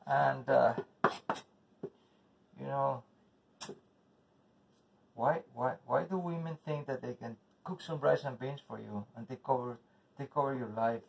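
Slow gravelly man with a Spanish accent. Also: medium pitch, distant mic, dull audio. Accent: Spanish-speaking, English as second language. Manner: slow, rambling, bitter and profane asides. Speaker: home cook vlogger. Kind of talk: casual cooking video with ranting. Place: home kitchen, indoors. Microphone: camera across the counter. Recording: voice from off-mic with faint noise.

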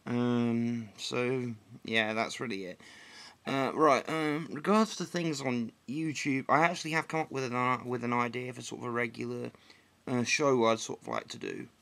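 Young man, croaky, rambling webcam vlog. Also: mid-range voice, steady tone, moderate pace, fairly bright tone. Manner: rambling, hesitant, many ums and restarts. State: slightly croaky after flu. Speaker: vlogger. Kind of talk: casual solo vlog update. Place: dim living room indoors.

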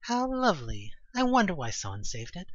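An exasperated young woman of an audioplay, acted out by a woman narrator. Her voice is raised and husky, swinging widely with sarcastic disbelief. The recording sounds dull and lo-fi. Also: lowish voice, very animated, fairly quick, no room sound, voice pushed up. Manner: incredulous, emphatic outbursts.

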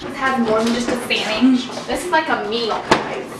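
A woman's voice: a little high, fairly even intonation, normal speed. A teenage girl chatting and teasing on a home cooking vlog, recorded in a kitchen by a far camera. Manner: giggly, teasing. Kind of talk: casual chat. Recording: very echoey kitchen from far off, loud noise.

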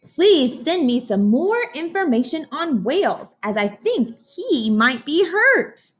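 A woman voicing an earnest, excited little girl in a storybook, reading letters slowly in a sing-song way. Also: high voice, voice pushed up, exaggerated voice. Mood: eager, earnest.

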